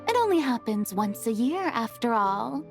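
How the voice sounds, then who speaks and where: A woman's voice: on the high side, big pitch swings, normal speed. A woman voicing a grand, showy young woman in a game, making proud, theatrical declarations. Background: music.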